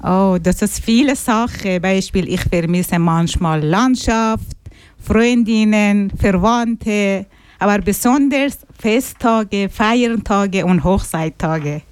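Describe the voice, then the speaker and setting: Woman, from Afghanistan, learner's German, careful answers, smooth voice, dry close sound. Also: medium pitch, takes it slowly, no echo. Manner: careful simple learner's German, searching for words. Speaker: interview guest. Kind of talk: radio interview answers.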